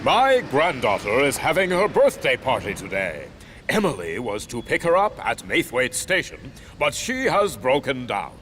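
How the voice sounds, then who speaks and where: A man playing a bossy older railway controller, a grandfather, in a children's cartoon, with a brisk, commanding manner. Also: mid-range voice, huge pitch swings, fairly bright tone, a little hiss or hum. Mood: stern. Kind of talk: children's cartoon character acting.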